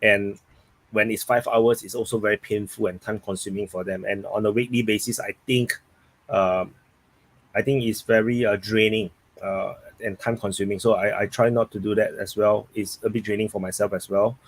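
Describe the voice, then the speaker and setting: A Singaporean man with a lowish voice closes out a live stream, chatting loosely with viewers. He rambles and thinks aloud as he reads the chat. The sound is close and dry. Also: fairly even intonation, normal speed, husky, no room sound, rough recording.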